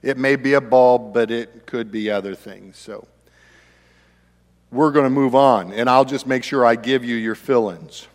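A man's voice: mid-range voice, measured pace, big-room sound. A man in his fifties preaching a sermon as pastor, in a conversational way with chatty asides to the congregation. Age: 50s. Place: church sanctuary.

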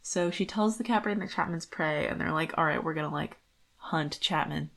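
A woman's voice: low voice, moderate pace, gravelly, a bit bright. A woman co-hosting a podcast, talking through a book in a casual, rambling way with lots of informal filler phrasing. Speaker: podcast co-host. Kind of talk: casual book discussion chat.

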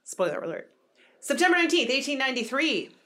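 A woman in her thirties co-hosting a casual chat podcast. She reads out dates with lively emphasis. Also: high-pitched, fast. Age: 30s. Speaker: podcast co-host.

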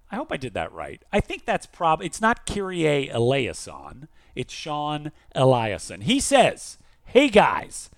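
A man's voice: on the high side, dry recording, clean studio sound. A man co-hosting a podcast, reading listener mail and chatting in a theatrical, emphatic, jokey way. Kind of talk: lively casual podcast chat and letter reading.

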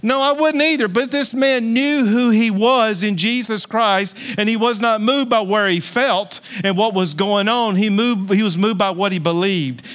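Fifties male pastor, emphatic sermon voice pitched high, bright forceful edge. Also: normal speed, hardly any pauses. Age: 50s. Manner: emphatic, repetitive preaching with jokey asides.

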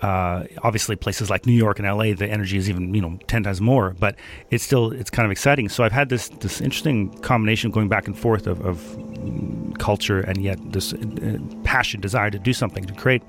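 A man from Denmark, a guest in an interview, answering thoughtfully with many pauses and fillers. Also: fairly low pitch, steady tone.

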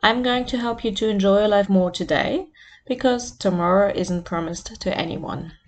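A woman who hosts a podcast as a life coach, leading a guided meditation in a soft, soothing voice. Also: medium pitch, normal speed, slight background noise.

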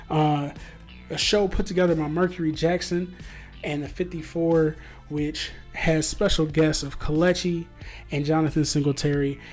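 A man's voice: on the high side, darkish tone. A man hosting a podcast, talking casually and informally in colloquial slang. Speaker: podcast host.

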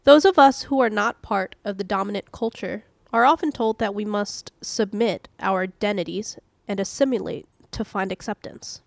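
A woman hosting a podcast, reading an audio devotional in a calm, measured, earnest way. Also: mid-range voice, gravelly, no room sound. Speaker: devotional podcast host and reader.

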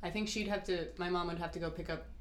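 Young woman, low voice, relaxed storytelling, echoey room, faint hiss. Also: fast talker. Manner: relaxed storytelling, many fillers. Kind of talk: casual chat.

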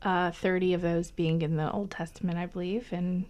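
A woman's voice: on the low side, fairly even intonation, normal speed, darkish tone. Jet-lagged woman co-host, easygoing. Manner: supportive, frequent short agreements. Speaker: podcast co-host. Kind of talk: casual conversation.

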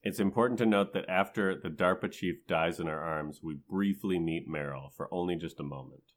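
A man's voice: low-pitched, moderate pace. A man speaking as a podcast co-host in a casual, conversational way. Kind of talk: casual chat.

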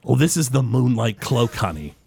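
A man voicing a flirtatious female high elf innkeeper with a husky voice who calls people darling. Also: sing-song, normal speed, a little mellow, no echo, heavily acted. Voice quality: husky, endearments like darling and honey.

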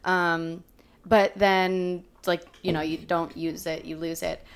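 Smooth-voiced chatty young woman. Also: lowish voice, little pitch movement, slow pace. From California. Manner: chatty, upbeat, laughs often, filler words. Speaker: podcast co-host. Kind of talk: casual chat.

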